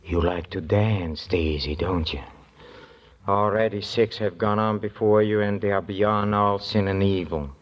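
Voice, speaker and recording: An actor playing a mad, educated religious fanatic who preaches scripture haltingly and fervently in an old-time radio drama. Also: male voice, fairly even intonation, close to an everyday voice. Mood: hushed, fervent. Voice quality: low voice. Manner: hesitant, halting, fervent scripture-quoting speech. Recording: muffled vintage radio recording.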